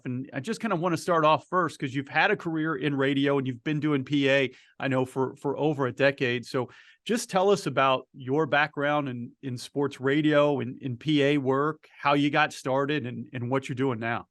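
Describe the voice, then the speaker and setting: A man's voice: mid-range voice, normal speed, fairly bright tone, dry recording. Male American podcast interviewer, medium register, dry studio-style sound, conversational delivery.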